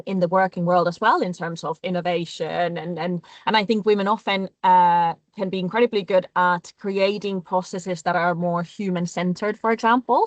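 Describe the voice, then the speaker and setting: A woman panelist giving measured, reflective answers in a thoughtful webinar discussion. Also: mid-range voice, no echo.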